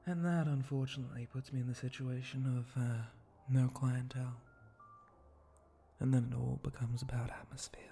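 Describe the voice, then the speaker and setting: A man voicing a flirty, teasing spider-monster bartender in an intimate ASMR roleplay, breathy and often close to a whisper, with a languid, suggestive way of talking. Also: medium pitch, flat delivery, dark tone, soft background music, close to an everyday voice. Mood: languid, musing. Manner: slow, languid, suggestive drawl with pauses and hums.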